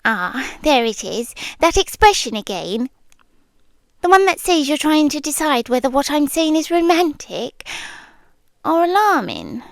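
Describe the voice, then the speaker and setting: A British woman playing a possessive, nonhuman yandere girlfriend in an ASMR roleplay, speaking softly and deliberately and often slipping into breathy whispers. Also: high-pitched, big pitch swings, no echo, full character voice. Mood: teasing, amused.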